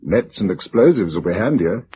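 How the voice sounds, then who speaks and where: An actor with an English accent playing a calm, practical, protective man surviving a catastrophe in a vintage radio drama, speaking in a measured way. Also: lowish voice, husky. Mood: matter-of-fact. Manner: measured, matter-of-fact. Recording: dull old radio recording with noise.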